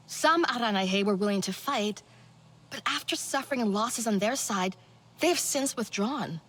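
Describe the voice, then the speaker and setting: A woman's voice: on the high side, breathy edge. A woman playing a sober, seasoned resistance leader in a cinematic video game, briefing her team.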